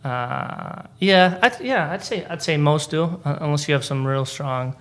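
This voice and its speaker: A man's voice: on the high side, measured pace, fairly bright tone. A young man from Boston, a Polish native speaker, telling stories in casual chat with lots of fillers and restarts. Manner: rambling storytelling with many fillers and restarts. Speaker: stand-up comedian guest.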